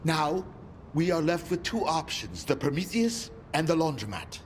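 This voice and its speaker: Wry game schemer, highish and animated in pitch, measured delivery, faint noise. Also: male voice. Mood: wry, composed. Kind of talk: dramatic video game acting.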